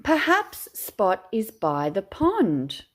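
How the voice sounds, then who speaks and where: A woman with an Australian accent reads a children's storybook aloud like a teacher at storytime. Her pace is very slow and deliberate, and her pitch moves up and down in a lively way for young listeners. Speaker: teacher reading picture books aloud.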